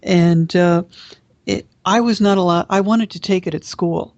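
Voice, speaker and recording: An American radio show host in a measured interview, asking questions plainly. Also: mid-range voice, darkish tone, no echo, lo-fi sound. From United States.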